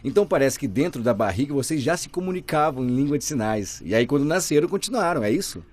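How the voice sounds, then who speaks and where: Husky man asking interview questions. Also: mid-range voice, animated, fast, a little mellow. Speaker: voice interpreter for the host.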